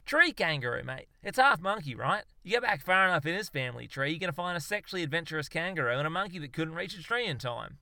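A man voicing a brash, boastful self-styled zoologist with an Australian accent, talking loudly in blustering slang. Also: fairly high pitch, expressive, fast talker, creaky, hardly any pauses, no echo, voice pushed up, full character voice. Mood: proud.

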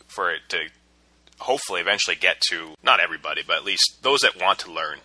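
A man's voice: sits low, expressive, quick pace, sharp tone, dry recording, low-quality recording. A man hosting a podcast interview, earnest and thoughtful, often restarting his phrases. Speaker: podcast host.

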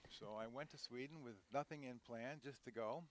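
A man's voice: mid-range voice, moderate pace. A man in his sixties, a guest on an interview podcast, telling long rambling stories full of restarts and fillers. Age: 60s. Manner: rambling, warm storytelling with many restarts. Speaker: guest, head equipment manager. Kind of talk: conversational interview.

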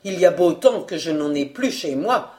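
A woman's voice: lowish voice, lively intonation, moderate pace, a little mellow, lightly acted. A woman voicing a proud young male reporter who speaks emphatically. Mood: indignant.

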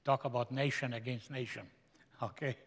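A man with a Slovenian accent, a guest speaker giving a slow, emphatic sermon in a seminary chapel. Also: mid-range voice, steady tone, husky. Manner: slow, emphatic preaching with stretched-out phrases. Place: large chapel. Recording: echoey hall with long reverb.

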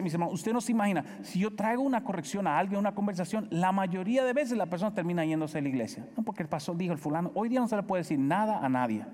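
A man with a Dominican accent preaching a sermon as pastor, pressing his points with emphatic repetition and swinging between loud and soft. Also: high-pitched, big-room sound. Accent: Dominican Spanish. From Dominican Republic.

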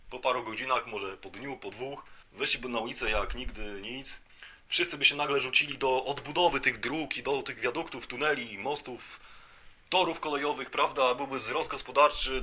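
Sharp, forceful man, mock-serious podcaster. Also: mid-range voice, moderate pace, slightly rough. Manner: mock-serious, rambling, conversational with rhetorical tag questions. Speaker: podcast host. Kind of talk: satirical monologue as a mock lecture.

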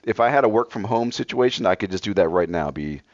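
Laid-back deep-voiced man, slightly scratchy. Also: expressive, fast talker, dry recording, highs cut off. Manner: laid-back, blunt, swears casually. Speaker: podcast co-host. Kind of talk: casual chat.

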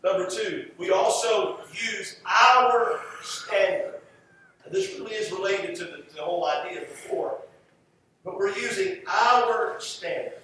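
An American man preaching a sermon in an emphatic style, with rhetorical repetition and deliberate pauses. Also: sits high, bright, forceful tone. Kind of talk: sermon to a congregation. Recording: echoey, distant mic, faint background noise.

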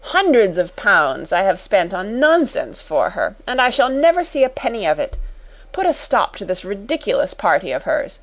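A woman's voice: mid-range voice, sing-song, measured pace, hardly any pauses. A woman voicing a furious, cold middle-aged schoolmistress in a storybook, with a fierce, bitterly ironic, commanding manner.

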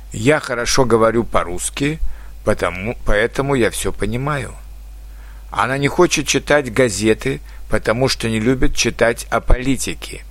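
A man teaching a grammar lesson to foreign learners, reading example sentences slowly and carefully and letting his pitch fall at the end of each example. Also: fairly bright tone, no room sound. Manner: slow, careful dictation-like delivery. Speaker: Russian language teacher.

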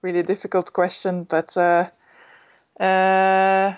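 A woman's voice: medium pitch, monotone, takes it slowly, smooth, highs cut off. A woman from Belgium, a guest on a podcast, speaking hesitantly with repeated words and light laughs. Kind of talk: casual interview chat.

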